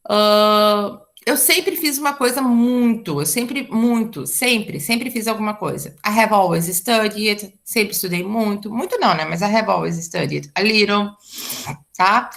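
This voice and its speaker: A woman's voice: mid-range voice, unhurried, gravelly, a bit bright. A Brazilian woman teaching an English grammar class online, chatty and explaining with frequent asides and checks like "tá?". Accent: Brazilian Portuguese.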